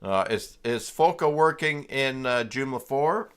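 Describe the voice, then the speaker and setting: A man hosting a casual, unscripted livestream tutorial, talking haltingly as he thinks aloud through each step. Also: mid-range voice, animated, a bit bright, clean tone. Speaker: livestream host and web tutorial presenter.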